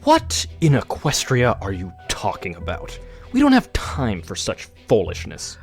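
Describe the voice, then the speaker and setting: A man's voice: fairly low pitch, wildly swooping pitch, a little slow, exaggerated voice. A man playing a scheming pony royal guard who feigns loyalty, formal and melodramatic, in a fan audio drama. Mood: indignant. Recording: music under the voice.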